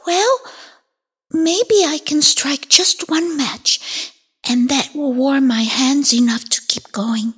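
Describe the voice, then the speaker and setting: A very high, fragile child's voice, a poor little match girl pleading softly and slowly. The delivery is unhurried and tender. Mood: hopeful.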